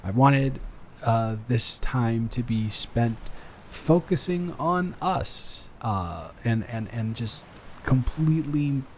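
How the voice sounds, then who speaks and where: A man playing a nervous, adoring young boyfriend in an improvised intimate roleplay audio, speaking in a halting, bashful, rambling way. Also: medium pitch, expressive, slight background noise. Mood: nervous, earnest.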